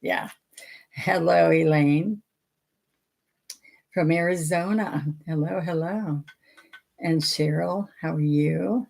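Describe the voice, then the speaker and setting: An older woman leads a painting lesson in a casual, chatty way, greeting viewers by name as she works. Her voice is low and gravelly, with a husky rasp that stays present throughout. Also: slow, pauses often, a little mellow. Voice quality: raspy. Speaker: painting teacher.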